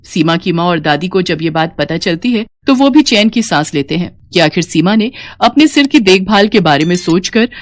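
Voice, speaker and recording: A woman's voice: fairly low pitch, expressive, moderate pace, hardly any pauses. A woman narrating a scripted moral tale as a storyteller.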